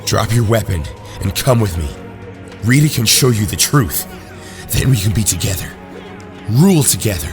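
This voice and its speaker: A man plays a cold young villain in an audio drama, deep and sharp-edged. The lines swing widely in pitch as they mock and threaten, with faint music behind. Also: expressive, moderate pace, natural voice. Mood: taunting. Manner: sneering, mocking, threatening. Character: cold, taunting young brainwashed villain ranger.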